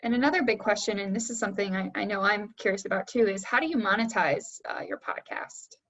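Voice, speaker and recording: A woman's voice: mid-range voice, big pitch swings, fast talker, a bit bright. A woman moderating an online panel question session, speaking informally with some hesitant phrasing. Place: remote video call. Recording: dull, muffled online-call audio.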